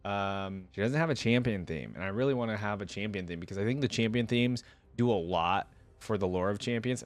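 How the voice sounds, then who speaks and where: A man's voice: lowish voice, dry recording. A young adult man, hosting a reaction video in casual, rambling chat.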